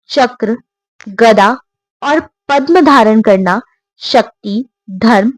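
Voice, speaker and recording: A woman narrating scripted devotional stories in a measured, reverent and formal manner. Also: on the high side, no room sound, poor audio. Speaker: storyteller.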